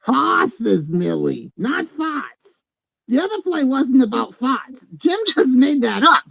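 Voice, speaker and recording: A woman playing a stubborn, teasing rustic theater handyperson with a gravelly, husky voice, in a staged reading on a dull recording. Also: sits high, big pitch swings, slow pace, a bit bright, dry recording, full character voice. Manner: folksy, wisecracking. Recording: dull lo-fi sound.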